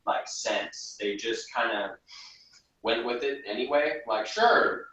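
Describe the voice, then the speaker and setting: A man's voice: medium pitch, slow pace, bright, forceful tone. A man chatting casually as a reviewer, giving blunt, informal opinions. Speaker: podcast reviewer. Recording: dull, roomy, distant mic.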